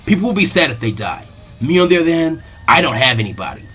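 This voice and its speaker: A man's voice: fairly high pitch, very animated, fast, a bit bright, husky, full character voice. A stubborn, lonely young ninja boy, acted by a man reading a story, talks bluntly and runs himself down. Music plays behind the voice. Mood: bitter resignation. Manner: blunt, self-deprecating.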